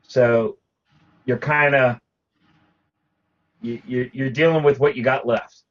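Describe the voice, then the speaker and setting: A man's voice: medium pitch, steady tone, slow pace. Male co-host, casual and rambling, restarts often; dull lo-fi recording. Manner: rambling, frequent restarts and fillers. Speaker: podcast co-host. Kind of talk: casual panel chat about collecting.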